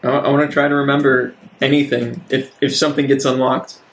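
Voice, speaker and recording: A man's voice: mid-range voice, level tone, brisk, vocal fry, fairly bright tone, soft background music. A man playing a tabletop game, casually improvising in character. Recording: echoey room with some background noise.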